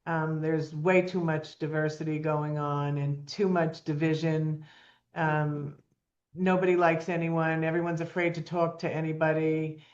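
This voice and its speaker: A woman's voice: sits low, level tone, unhurried, darkish tone, muffled recording. A woman in her seventies, a nonprofit founder talking as a guest in an earnest, plainspoken way with frequent ums. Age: 70s. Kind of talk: casual interview talk.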